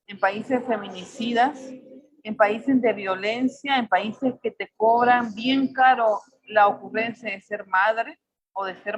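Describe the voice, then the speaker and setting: A woman in her forties from El Salvador, with a Salvadoran accent tinged with Mexican, speaking as a poet on a video call. She talks thoughtfully, searching for words and filling pauses often. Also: on the high side, highs cut off. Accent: Salvadoran Spanish mixed with Mexican. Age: 40s. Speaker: poet on a panel. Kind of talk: reflective personal talk.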